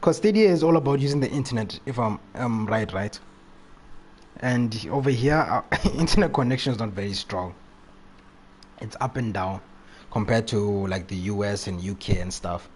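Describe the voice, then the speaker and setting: A man's voice: lowish voice, animated, moderate pace, a little mellow. Casual male game streamer, faint noise. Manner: jokey, slangy, often chuckling. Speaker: game livestreamer. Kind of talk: casual livestream chat and game reaction.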